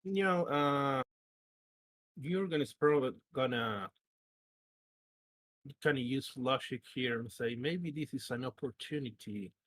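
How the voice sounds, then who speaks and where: A man with a husky voice, a player casually reasoning through a tabletop game in a deliberate way. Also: mid-range voice, fairly bright tone, no echo, low-quality recording.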